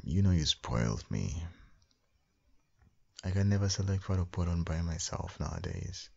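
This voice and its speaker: A man with a gravelly, husky voice doing a soft, intimate boyfriend ASMR roleplay as a loving, doting husband and father. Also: low voice, animated, highs cut off. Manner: tender, doting, slow, murmured with soft hums.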